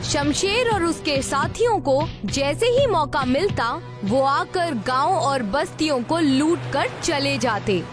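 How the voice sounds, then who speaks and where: A woman's voice: high-pitched, lively intonation, slow, hardly any pauses, music in the background. A woman narrating a scripted story in a storytelling manner.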